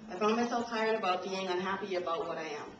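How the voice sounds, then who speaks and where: A woman's voice: medium pitch, fast talker. Woman, reading a speech, slightly nervous, roomy hall, distant camcorder. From Trinidad and Tobago. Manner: a little nervous, laughs between lines. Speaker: invited speaker. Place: university lecture room. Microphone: camcorder from the audience. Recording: roomy, distant, dull camcorder audio with noise.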